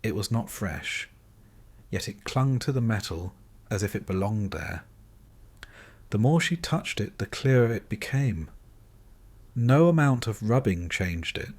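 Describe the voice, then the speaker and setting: Slow, low-voiced man narrating bedtime stories. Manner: measured, soothing, deliberate short phrases with pauses. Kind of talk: calm scripted audiobook storytelling for sleep.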